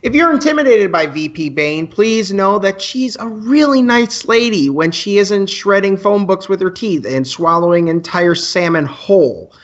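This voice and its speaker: Man playing an earnest high-voiced student radio presenter. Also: expressive, moderate pace, few pauses, lo-fi sound. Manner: mock-formal announcing with dry asides. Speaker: radio co-host character. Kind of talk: radio play in campus news broadcast style.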